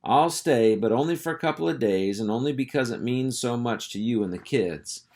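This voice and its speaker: A man's voice: mid-range voice, animated, normal speed, darkish tone, natural voice. A man voicing a boastful, oblivious ranch dog in a storybook, with a folksy, self-important, blustering manner. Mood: earnest, blustering.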